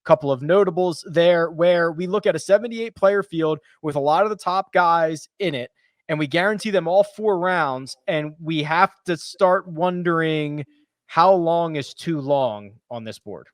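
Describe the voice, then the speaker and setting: Brisk, analytical man hosting golf picks. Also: a little high, dry recording. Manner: brisk and analytical, laughs easily. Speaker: golf betting show host. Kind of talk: casual betting chat.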